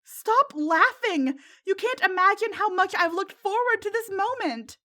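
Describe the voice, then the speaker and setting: Lady doing high voiced teen girl bestie, excitable fangirl type, gushy, story narration. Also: a little slow, exaggerated voice. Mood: squealing excitement. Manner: gushing, squealing when excited. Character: excitable, loyal teenage girl, gushing superhero fangirl.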